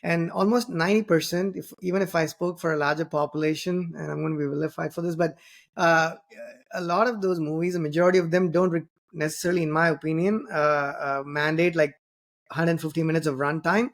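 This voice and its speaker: A man's voice: on the high side, fairly even intonation, fairly quick. A man from southern India, a native Tamil speaker, hosting an interview in a rambling conversational way with many fillers and restarts. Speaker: podcast host.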